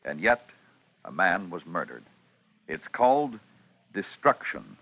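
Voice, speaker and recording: A man announcing a 1950s radio mystery program in a measured, dramatic scripted delivery. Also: deep voice, big pitch swings, husky, dry recording. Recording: muffled vintage radio broadcast. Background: music.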